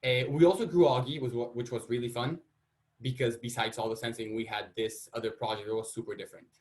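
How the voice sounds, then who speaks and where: A man's voice: medium pitch, steady tone, fast, darkish tone. A young man from Colombia, a student presenting his research in a casual, self-deprecating way. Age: young adult. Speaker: student panelist.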